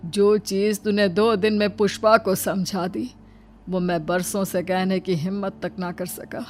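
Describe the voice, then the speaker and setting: A woman's voice: mid-range voice, level tone, measured pace, close to an everyday voice. A woman voicing a mild middle-aged husband and father in a family storybook tale, earnest and subdued. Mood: grateful, earnest.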